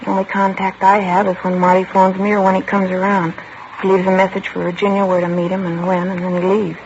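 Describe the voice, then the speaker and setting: A woman's voice: medium pitch, monotone, fast talker, natural voice. An actress playing a young woman gift wrapper who evasively answers police questions, in 1950s radio drama style. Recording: dull old radio broadcast with hiss.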